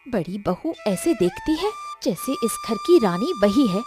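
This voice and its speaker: Woman playing a high-pitched, hot-tempered young village wife. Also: huge pitch swings, measured pace, over music. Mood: indignant. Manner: complaining, emphatic. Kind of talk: melodramatic animated story acting.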